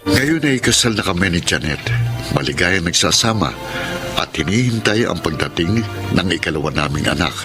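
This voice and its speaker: An actor playing an earnest, devoted suitor in a Filipino radio drama, with a Filipino accent. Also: male voice, sits low, animated, normal speed. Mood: contented. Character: earnest, devoted male suitor, gentle and steadfast. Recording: prominent music under the voice.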